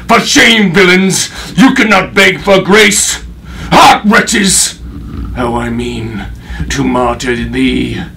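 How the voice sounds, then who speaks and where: Slow, vengeful Roman father onstage. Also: low-pitched, flat delivery. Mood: raging, anguished. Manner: declamatory, deliberate, emphatic. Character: vengeful, grief-maddened old Roman father, menacing and bitter. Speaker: actor in a workshop. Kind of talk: theatrical Shakespearean stage monologue. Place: acting workshop, indoors. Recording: distant mic, clearly noisy workshop room.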